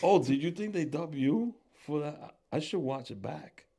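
A man's voice: a little high, lively intonation, normal speed, gravelly, warm tone, slightly rough. A man hosting a podcast in casual, joking chat, often slipping into voices. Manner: playful, joking, breaks into impressions. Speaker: podcast host.

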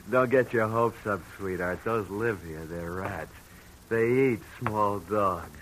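Low-voiced menacing gangster gunman. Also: animated, takes it slowly. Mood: mocking menace. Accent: American. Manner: taunting, menacing. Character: menacing, taunting young male gangland gunman. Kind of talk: 1940s radio crime drama acting. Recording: hissy old radio broadcast.

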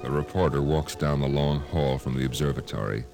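A man's voice: very deep voice, fairly even intonation, a little fast, vocal fry. A man announcing and narrating an old radio science fiction drama in a dramatic, declamatory style. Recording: old radio broadcast with music.

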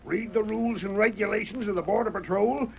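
A commanding, suspicious frontier sheriff with a high, creaky voice and a Western American accent. He fires off orders and questions gruffly in old-time radio western style. The recording is dull and slightly noisy. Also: brisk, a crowd in the background. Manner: gruff, interrogating, authoritative. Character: stern, suspicious Old West sheriff, commanding and hard-nosed.

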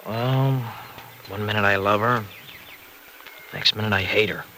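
An actor in an old-time radio drama playing a troubled, restless married farmer with a wayward past, speaking colloquially in halting, earnest confessions. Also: male voice, fairly low pitch, fairly even intonation, bright, forceful tone. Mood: confused, hesitant. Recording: vintage radio recording, faint noise.

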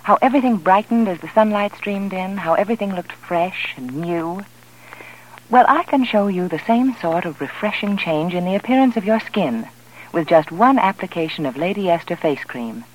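A woman's voice: mid-range voice, lively intonation, normal speed, slight background noise, low-quality recording. A woman delivering a 1940s radio commercial with emphatic, persuasive, carefully articulated speech. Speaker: advertising spokeswoman.

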